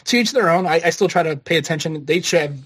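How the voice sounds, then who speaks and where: A quick-talking man co-hosting a casual podcast. His voice sits a little on the high side, and he talks in an easygoing, filler-heavy way. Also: a bit bright, husky. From United States. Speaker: podcast co-host.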